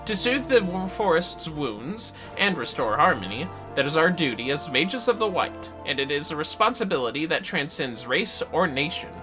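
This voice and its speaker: A man's voice: fairly high pitch, big pitch swings, normal speed, few pauses. A man voicing a solemn, earnest forest mage from a fantasy game, reading dialogue aloud in a formal, archaic, theatrical manner. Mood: solemn, grave. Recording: music behind the voice.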